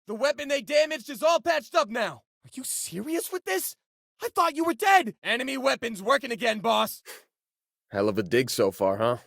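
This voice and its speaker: A man's voice: very high-pitched, big pitch swings, normal speed, vocal fry, a bit bright, no echo, clean studio sound, full character voice. A male actor playing an easygoing, joking miner and spaceship crewmate in a video game, talking in a casual, folksy way. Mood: urgent, alert. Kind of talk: video game companion voice lines.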